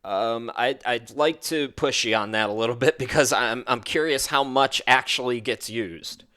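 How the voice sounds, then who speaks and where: A man's voice: mid-range voice, normal speed, bright, forceful tone. A man in his early forties hosting a casual interview, phrasing his thoughts hesitantly and thoughtfully. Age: early 40s. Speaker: interview host.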